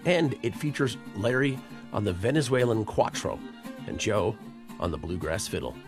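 A man's voice: on the low side, lively intonation, moderate pace, music in the background. A man hosting a radio show, playful and jokey as he talks with guests and introduces segments.

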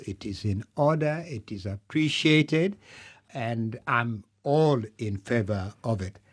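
A middle-aged man from Uganda, answering interview questions in a measured, deliberate way, stressing lists of points with pauses. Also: mid-range voice, a little mellow. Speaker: candidate being interviewed.

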